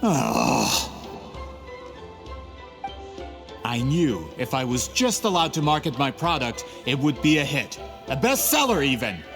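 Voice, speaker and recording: A man's voice: medium pitch, very animated, normal speed, gravelly. A man voicing a greedy, scheming male printing merchant in a game cutscene, smug and scornful. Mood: bitter. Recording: game audio with reverb and music.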